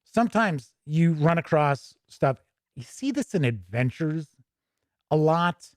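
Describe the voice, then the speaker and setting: A man's voice: a little high, a little mellow, no echo, studio quality. A man hosting a tabletop gaming show, chatting casually with asides as he reads aloud and comments on a livestream.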